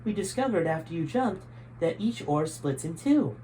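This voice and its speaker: A man's voice: fairly high pitch, expressive, moderate pace, warm tone, a little hiss or hum, voice pushed up, natural voice, rough recording. A man voicing a dutiful female assassin in a storybook, formal and obedient. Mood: explaining. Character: dutiful female assassin soldier, replying crisply.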